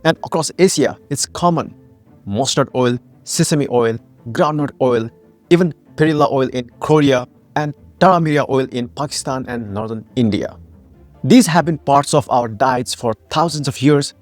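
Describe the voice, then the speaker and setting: A man's voice: mid-range voice, moderate pace, soft background music. A man from Nepal, founder and host of a health channel, giving a scripted educational narration with emphatic rhetorical questions.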